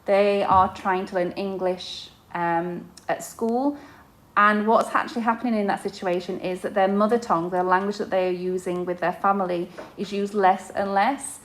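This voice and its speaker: A woman's voice: medium pitch, fairly even intonation, moderate pace. Woman teacher explaining to parents. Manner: explanatory, with frequent fillers and restarts. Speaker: inclusion teacher. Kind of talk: podcast-style school presentation for parents.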